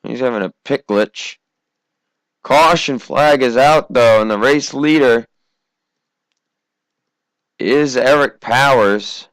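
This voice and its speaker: A teenage American male calls a race in a casual, conversational way. His voice is mid-pitched and a touch hoarse, though still fairly clean in tone. The sound is dry, with no room to it. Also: takes it slowly, pauses often, a bit bright, dry recording, rough recording. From Massachusetts, United States. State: slightly hoarse, recovering from a cough. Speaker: race commentator. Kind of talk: amateur sim racing commentary.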